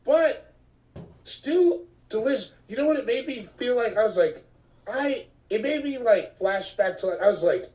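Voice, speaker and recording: High, lively man chatting playfully. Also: moderate pace, distant mic, poor audio. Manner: enthusiastic, playful storytelling. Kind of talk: casual podcast banter.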